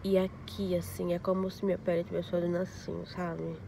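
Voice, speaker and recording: A young woman vlogging casually to her phone camera, congested with a flu and a scratchy throat, explaining her skin recovery slowly. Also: on the low side, flat delivery, vocal fry, mellow. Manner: talks slowly through skin recovery, showing details. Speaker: beauty vlogger. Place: inside a parked car, later a home sofa. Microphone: phone camera at arm's length.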